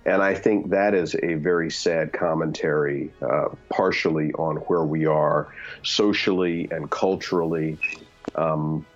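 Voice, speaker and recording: A man's voice: rumbling low, steady tone, unhurried, highs cut off, soft background music. A man in his fifties giving candid opinions in conversation, earnest, with many fillers and restarts. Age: 50s. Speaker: guest.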